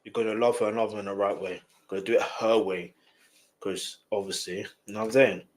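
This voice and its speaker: A man's voice: lowish voice, steady tone, brisk. A young man with a slightly nasal voice, hosting a chatty live music reaction. He keeps stopping the track to explain his point. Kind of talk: casual live reaction commentary.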